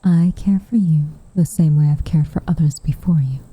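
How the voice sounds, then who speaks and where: Woman playing a tsundere witch, ASMR roleplay, lowish soft voice, breathy whispers, close and dry recording, hesitant, coy, guarded but tender. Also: normal speed, dry recording, poor audio. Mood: guarded, wistful. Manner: hesitant, coy, wry formal phrasing.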